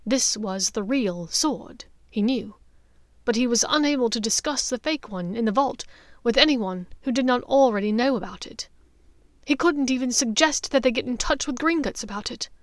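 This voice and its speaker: A woman's voice: on the high side, normal speed, no room sound, studio quality. A woman reading dramatic fiction as an audiobook narrator.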